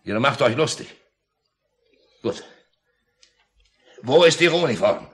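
An actor playing a curt, suspicious, commanding general in a 1950s radio play. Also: male voice, mid-range voice, animated, slow pace, fairly bright tone. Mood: stern, interrogating. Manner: clipped, stern.

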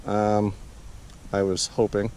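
A man giving casual, off-the-cuff fishing commentary into a body-worn action camera, halting and muttering to himself as he goes. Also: fairly low pitch, monotone. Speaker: angler, vlog host. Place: outdoors on bridge and canal bank. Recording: outdoors with loud wind and traffic noise.

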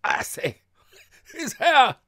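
A man voicing a boisterous, slangy young woman who teases loudly in an audiobook comic novel. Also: a little high, fast talker, voice pushed up. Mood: gleeful, mocking. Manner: loud exclaiming, slangy.

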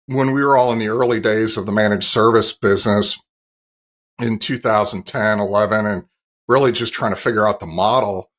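A man's voice: on the low side, fairly even intonation, normal speed, fairly bright tone. A man in his fifties giving explanatory answers as an interview guest, talking over a video call from a home office. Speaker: interview guest, industry analyst. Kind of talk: explanatory business interview talk.

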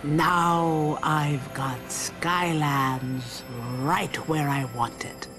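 A woman's voice: deep voice, very slow, heavily acted. A woman playing a haughty, tyrannical queen villainess in a video game, imperious and melodramatic. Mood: smug, menacing. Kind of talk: video game cutscene acting. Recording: music behind the voice.

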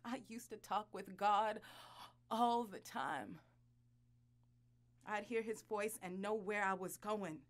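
An actress playing a bitter, devout wife in an audio drama, intense and deliberate in her delivery. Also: medium pitch, fairly even intonation, lightly acted. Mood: weary, anguished. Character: bitter, anguished wife and reluctant adoptive mother, devout. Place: small recording studio. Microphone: studio condenser mic.

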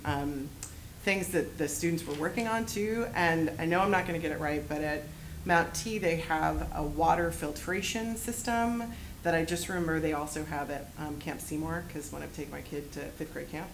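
Low-voiced woman giving a rambling board report. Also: a little hiss or hum, low-quality recording. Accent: Pacific Northwest American. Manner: casual, rambling with many fillers. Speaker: school board member. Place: board meeting room. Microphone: desk microphone.

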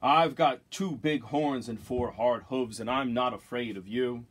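A man voicing a big, heavy, fearless billy goat in a storybook, with a big loud voice. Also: medium pitch, unhurried, natural voice. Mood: bold, defiant.

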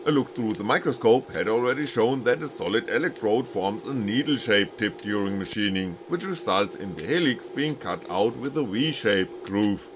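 A man's voice: on the low side, expressive, moderate pace, few pauses, over music. A man reading scripted technical narration as a maker presenting his own project.

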